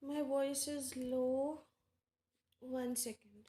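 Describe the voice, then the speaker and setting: A woman with an Indian English accent, hosting a live tarot stream and chatting casually with viewers by name, unhurried and full of fillers. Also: high voice, little pitch movement, mellow. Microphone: earphones with mic. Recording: echoey room.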